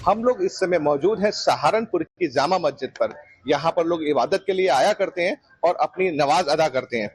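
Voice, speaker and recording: A man's voice: fairly high pitch, lively intonation, moderate pace, breathy edge, dry recording, faint music behind. A man reporting to camera from location for TV news. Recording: faint outdoor ambient noise.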